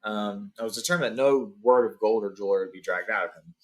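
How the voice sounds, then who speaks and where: A man's voice: on the low side, fast talker. A man hosting a read-along, narrating a fantasy storybook with informal recaps.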